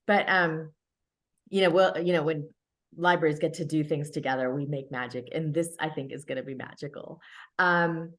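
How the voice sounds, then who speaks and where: Woman, library host, fairly low pitch, hesitant, frequent fillers, video call. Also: steady tone, normal speed. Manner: hesitant, many "um"s and restarts.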